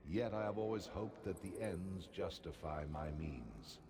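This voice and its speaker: A very low-voiced ancient god from a fantasy game, grave and commanding. He speaks formally and deliberately, weighed down by regret. Clear ambient noise sits behind him. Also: lively intonation, full character voice. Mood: solemn regret. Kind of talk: scripted fantasy game acting. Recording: noticeable background ambience noise.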